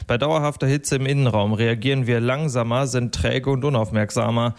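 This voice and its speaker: Man, radio newsreader, average pitch, scripted news reading, studio. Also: fairly even intonation, fairly quick.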